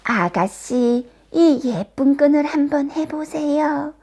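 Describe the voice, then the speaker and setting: Lady doing a wicked queen posing as old granny seller, slow singsong coaxing, pitched up, fairy tale. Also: dark tone. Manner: drawn-out, sing-song vendor calls.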